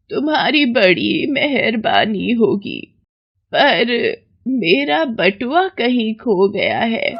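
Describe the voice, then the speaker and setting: Frail elderly woman for cartoon moral story, voiced by a woman; gentle, halting, very unhurried delivery. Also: medium pitch, slightly rough, no room sound, dull audio, heavily acted. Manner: slow, halting, gentle pleading. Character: frail, pleading old woman stranded without money.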